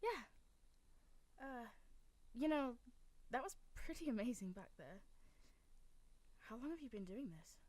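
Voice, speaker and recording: A curious, hesitant young woman, acted by a voice actress, in a fantasy drama. Her voice is slightly high and she speaks inquisitively. The sound is dry. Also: lively intonation, measured pace, long pauses, darkish tone, no echo.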